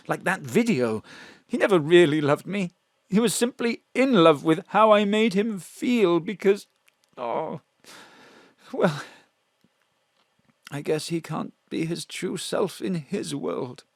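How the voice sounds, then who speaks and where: A man's voice: fairly high pitch, no room sound, studio quality. An actor playing a frightened male drag performer, with a theatrical, swooping storytelling manner. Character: male drag performer Bruno, also Mona, frightened fugitive. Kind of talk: audio drama acting. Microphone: home studio mic.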